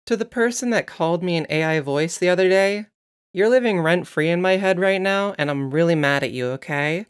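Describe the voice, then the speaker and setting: Casting: woman narrator-host, deep register, for scripted reading and casual asides. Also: brisk, smooth.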